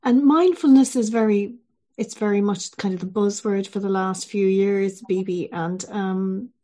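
A woman hosting a podcast, gently interviewing a guest and reading a news roundup. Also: mid-range voice, a little slow. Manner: gentle, friendly, conversational. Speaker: podcast host.

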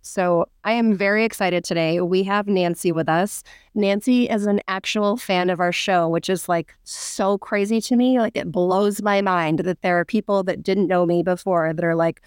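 A woman's voice: mid-range voice, steady tone, normal speed, clean tone, no echo, clean studio sound. A woman co-hosting a podcast, chatting casually and upbeat, her voice a little off from a cold. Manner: upbeat, grateful, chatty with fillers. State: a bit sick, voice slightly off. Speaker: podcast co-host.

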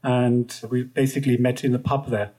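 Relaxed man with a German accent. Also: medium pitch, normal speed. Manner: relaxed, chatty, laughs now and then. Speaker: podcast guest. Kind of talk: casual interview chat.

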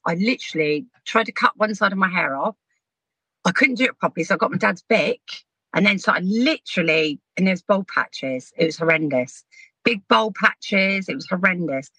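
Brief: woman, 50s, podcast guest, laughs through her stories, bright tone. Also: medium pitch, husky, no room sound, rough recording. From Southampton, England. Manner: chatty, frank, laughs while telling stories. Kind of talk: casual storytelling chat.

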